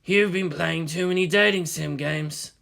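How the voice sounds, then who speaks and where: A man's voice: a little high, a little slow, creaky, sharp tone, exaggerated voice. A man voicing a laid-back, wry adult man who talks in a dry, musing way.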